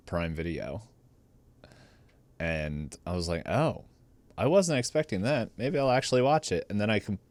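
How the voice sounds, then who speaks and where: Deep, clear-voiced man, casual podcast talk. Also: dry recording. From upstate New York, United States. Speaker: podcast co-host.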